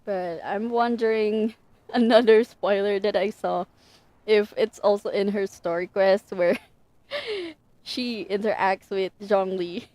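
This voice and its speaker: A woman livestreaming a game. She comments casually on what is happening and takes her time, speaking at a slow pace in a mid-range voice. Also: medium pitch, darkish tone, dry recording.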